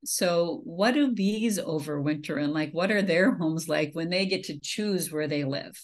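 A woman's voice: deep voice, a little slow. A woman from Minnesota giving a slide presentation on a video call, talking casually with many fillers and restarts. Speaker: beekeeping presenter. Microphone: webcam on a video call.

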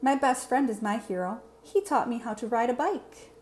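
A woman reading a picture book aloud to children in an expressive teacher's style. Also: on the high side, normal speed. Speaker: read-aloud presenter, teacher. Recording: clear background room noise.

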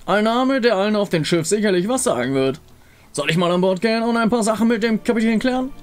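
A man's voice: high voice, lively intonation, fast, soft background music, voice pushed up. A man voicing a boastful, easily flustered outlaw gunslinger with a blustering manner.

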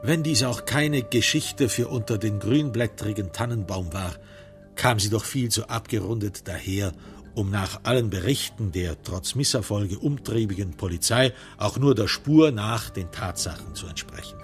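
A man playing the wry, sombre narrator of a crime radio drama, telling it in measured literary prose with dramatic emphasis. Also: on the low side, music in the background, natural voice. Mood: wry, analytical.

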